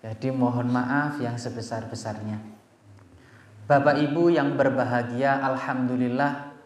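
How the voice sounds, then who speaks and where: A man with a Javanese Indonesian accent preaching to a gathering, addressing listeners directly with rhetorical questions. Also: medium pitch. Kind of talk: religious sermon to a gathering. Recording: echoey space, faint background noise.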